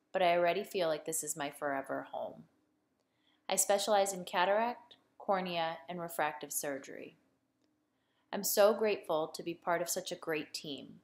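A woman in her thirties who grew up in Buffalo, New York, an eye surgeon, introducing herself in a friendly way. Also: fairly low pitch. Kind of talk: read-aloud self-introduction.

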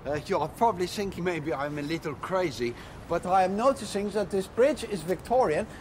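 An actor playing an earnest, guilt-ridden young German man with a German accent, who talks in stiff, over-formal phrases and bursts into shouted rants. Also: sits high, brisk, a little hiss or hum, lightly acted. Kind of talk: TV sketch comedy acting.

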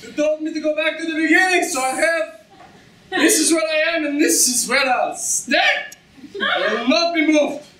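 Woman doing a stubborn drunk swordsman in a stage play, bright voice, far off in a boomy hall. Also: normal speed. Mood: defiant. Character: stubborn, drunken swordsman waiting for his boss. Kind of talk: staged reading of a comic play. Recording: echoey hall, far from mic.

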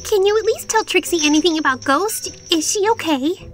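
Woman voicing high-pitched, theatrical pony showgirl. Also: animated, moderate pace, soft background music. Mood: earnest. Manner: haughty, melodramatic, speaks of self in third person. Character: vain, theatrical young female unicorn pony magician. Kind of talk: cartoon comic dub acting.

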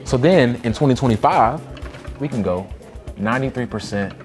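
A man's voice: medium pitch, expressive, normal speed, darkish tone, soft background music. A man improvising a prank, joking and boasting with frequent laughs while pretending to be a job candidate.

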